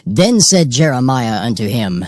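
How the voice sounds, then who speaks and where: Expressive male scripture narrator, dry sound. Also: medium pitch, no room sound. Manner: formal, measured. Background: soft music.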